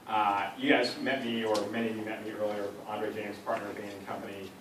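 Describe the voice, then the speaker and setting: A man judging and hosting the competition, speaking in a relaxed way with many hedging filler phrases. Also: on the low side, fast talker. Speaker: judge and competition host. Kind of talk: opening remarks. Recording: roomy echo with noise, far off.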